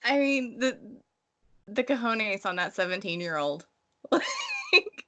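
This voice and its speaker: A woman's voice: a little high, wildly swooping pitch, slow pace, pushed and bright. A woman co-hosting a casual, analytical book discussion in a wry, irreverent way. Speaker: podcast co-host discussing a novel.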